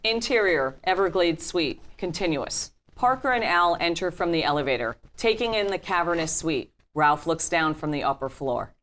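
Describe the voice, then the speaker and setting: Low-voiced woman narrator. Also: lively intonation, moderate pace, hardly any pauses, a bit bright. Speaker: stage-direction narrator. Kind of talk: scripted narration, reading screen directions. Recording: faint noise and room ambience.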